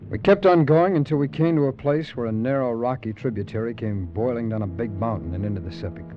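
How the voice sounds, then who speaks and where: A man's voice: mid-range voice, fast talker, close to an everyday voice. An actor playing a tough, wisecracking adventurer who narrates his own 1940s radio drama in a dry, sardonic, hard-boiled manner. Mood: narrating. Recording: dull, lo-fi old radio broadcast with music.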